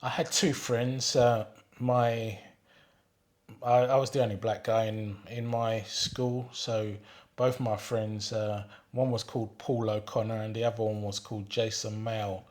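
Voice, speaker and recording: A man, reminiscing about his childhood in an unhurried way, often pausing with ums and restarting his sentences. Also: lowish voice, level tone, darkish tone. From England. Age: 60s. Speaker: retired father telling his life story. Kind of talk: reminiscing monologue reading out questions.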